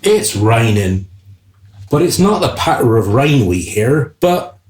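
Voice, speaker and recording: An actor playing the wry narrator of a comic royal radio play, theatrical and deliberate, in an echoing recording. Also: male voice, medium pitch, big pitch swings, a little mellow, soft background music. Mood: wry, scene-setting. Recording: roomy, echoing sound.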